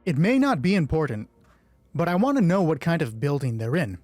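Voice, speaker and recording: A man hosts a solo tabletop roleplay in a dark, relaxed voice. He shifts between measured story narration and casual commentary, with faint music underneath. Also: fairly high pitch, dry recording.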